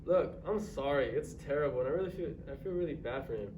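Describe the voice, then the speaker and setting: A man's voice: on the high side, quick pace, a little mellow, natural voice. Distant echoey student playing a bitter man. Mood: defensive. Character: bitter, closed-off, self-centred man haunted by sad Christmases. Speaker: drama student. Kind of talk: staged reader's theatre performance. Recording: distant echoey noisy live stage recording.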